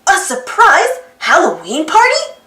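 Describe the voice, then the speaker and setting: A woman's voice: very high voice, huge pitch swings, a little slow, pushed and bright, full character voice. A woman voicing an eager, polite young assistant to a mad scientist in a children's storybook, cheerfully exclaiming. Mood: delighted. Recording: roomy echo with music behind.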